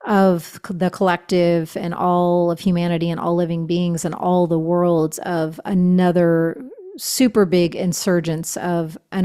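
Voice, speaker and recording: A woman's voice: on the low side, a little mellow, smooth. Middle-aged woman guest, gushy spiritual talk, lots of "you know" and "um", easygoing podcast chat. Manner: gushing, spiritual phrasing, many fillers. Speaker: podcast guest, shaman and author. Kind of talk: casual interview chat.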